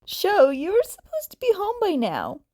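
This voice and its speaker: Woman playing a loud, high, excitable man. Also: normal speed, dry recording, clean studio sound, full character voice. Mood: chiding. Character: loud, excitable, caring man, anxious partner.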